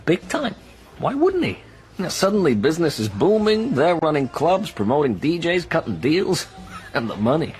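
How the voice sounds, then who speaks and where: An actor playing a fat, wisecracking, streetwise American in New York, slangy and knowing, in a radio drama. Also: male voice, a little high, big pitch swings, normal speed, darkish tone, faint music behind. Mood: knowing, confiding.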